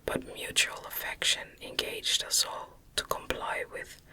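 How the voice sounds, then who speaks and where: A woman whispering a classic Gothic novel as an ASMR audiobook, hushed and measured, close to the microphone. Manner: hushed, measured, unvoiced delivery. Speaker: audiobook reader.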